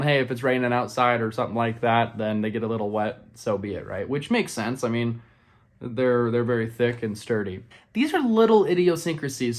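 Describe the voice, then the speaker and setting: Casual man reviewing a product. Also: mid-range voice. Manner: informal, conversational, addresses viewers as guys. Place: small indoor room with painted brick wall.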